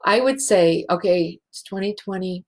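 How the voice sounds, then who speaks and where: A woman hosting a spiritual show, speaking in a halting, emotional way and close to tears. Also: fairly low pitch, a little mellow. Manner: slow, halting and emotional, many restarts. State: teary. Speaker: show host, healing facilitator. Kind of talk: heartfelt spiritual show monologue.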